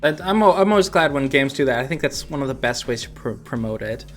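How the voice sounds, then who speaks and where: A man's voice: medium pitch, expressive, fast talker, a bit bright, faint music behind. A man hosting a podcast, chatting casually about games and thinking aloud in a rambling way. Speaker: podcast host.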